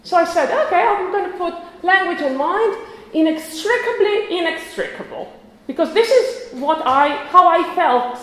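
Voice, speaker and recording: A woman's voice: very high-pitched, lively intonation, normal speed, fairly bright tone. Woman lecturing in a very echoey hall. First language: Croatian. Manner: chatty, self-correcting, with frequent restarts and small laughs. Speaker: conference lecturer. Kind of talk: academic conference talk.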